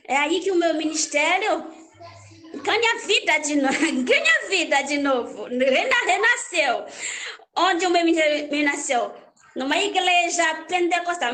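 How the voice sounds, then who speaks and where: Young Haitian woman, Haitian accent, high and unhurried; halting storyteller who repeats phrases. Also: fairly bright tone, slight background noise. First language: Haitian Creole. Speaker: church worship minister. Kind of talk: personal life story.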